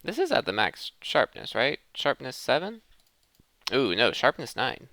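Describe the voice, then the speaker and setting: A man's voice: medium pitch, wildly swooping pitch, a little slow, bright, forceful tone. A man hosting a gameplay video, thinking aloud in a casual commentary addressed to his viewers. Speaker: host of a gaming let's play series.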